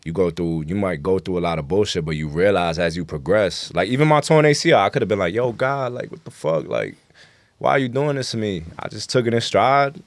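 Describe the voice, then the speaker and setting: A man with a very low voice and a New York accent, recorded dry and close. He talks like a rapper being interviewed, loose and slangy, with plenty of casual swearing. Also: animated, a little fast, dry recording. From New York City. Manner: slangy, profanity-laced, frequent "you know". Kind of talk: casual podcast interview talk.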